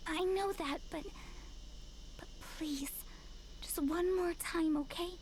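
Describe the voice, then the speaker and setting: An actress voicing an anxious, secretive young woman who pleads in a hushed way, in a visual novel. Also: extremely high pitch, slow pace. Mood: pleading.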